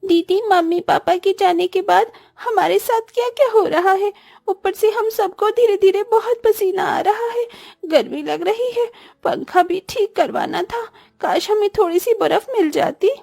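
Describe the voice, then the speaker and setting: Woman voicing a high-pitched, plaintive little orphan girl. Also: unhurried, creaky, darkish tone, no echo, clean studio sound, heavily acted. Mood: wistful. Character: poor young orphan girls, plaintive little sisters. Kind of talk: scripted cartoon dialogue.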